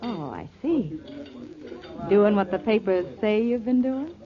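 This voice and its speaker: Teasing, admiring girlfriend, very expressive melody, dull 1940s radio drama recording with crowd behind. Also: medium pitch, measured pace. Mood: coy, curious. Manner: coy, teasing, sweet-talking.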